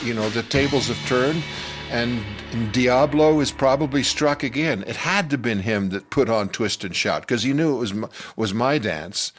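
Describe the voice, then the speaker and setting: An older man telling a personal story from his youth in a conversational, halting and animated way. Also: medium pitch. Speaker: storyteller telling his own childhood memory. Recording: music bed under the voice. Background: music.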